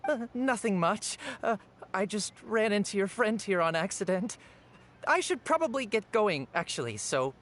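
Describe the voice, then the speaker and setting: Flustered young paper seller, very animated. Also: male voice, high voice, normal speed, slight background noise. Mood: nervous. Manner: polite, flustered, self-effacing. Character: apologetic, eager, humble young newspaper seller. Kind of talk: video game character dialogue.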